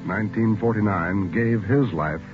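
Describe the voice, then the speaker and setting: Solemn, low-voiced male radio announcer. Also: normal speed, over music. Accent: American. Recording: muffled 1940s radio broadcast.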